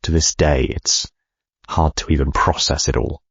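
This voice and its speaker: Very deep gravelly CEO narrating memoirs. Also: male voice, steady tone, no room sound, lo-fi sound, full character voice. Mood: haunted, reflective. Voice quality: gravelly, husky. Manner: measured, reflective. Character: adult male corporate CEO reading his own autobiography. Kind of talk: scripted audiobook narration.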